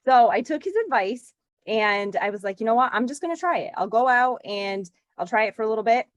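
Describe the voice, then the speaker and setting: A woman in her forties from Connecticut, chatting as a podcast guest in a lively, talkative way with frequent laughs and filler words. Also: fairly high pitch, a little fast, dry recording. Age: 40s. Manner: chatty, animated, laughs often, lots of "like". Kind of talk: casual interview chat.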